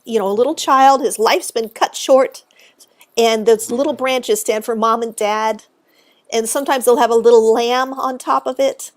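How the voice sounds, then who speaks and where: Exuberant woman with a high voice. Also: steady tone, moderate pace. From Texas. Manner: exuberant, chatty. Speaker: guest speaker on cemetery history. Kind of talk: live-stream interview, casual explaining.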